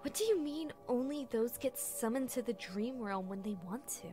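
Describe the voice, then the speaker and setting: A petulant young cartoon pony princess, acted by a woman, high-pitched and very lively in her intonation. She is stubborn, whiny and dramatic, with music playing behind her. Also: moderate pace, natural voice. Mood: indignant. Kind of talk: animated web series voice acting.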